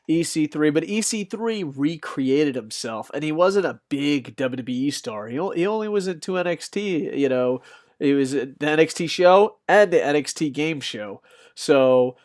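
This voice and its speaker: A young man with a slightly squeaky voice, rambling through off-the-cuff wrestling news commentary with lots of fillers and restarts. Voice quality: a bit squeaky.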